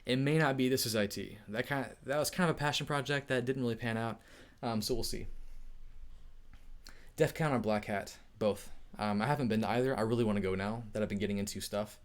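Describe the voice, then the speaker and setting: Casual male streamer answering chat questions, energetic and friendly, often laughing. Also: medium pitch, brisk. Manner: upbeat, chatty, laughs often. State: overheated. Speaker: tech YouTuber hosting a live Q&A.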